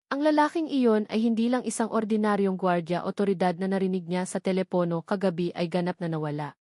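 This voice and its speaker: A woman's voice: mid-range voice, fairly quick, warm tone, dry recording, clean studio sound. A woman with a Filipino accent reading scripted narration of a romance story.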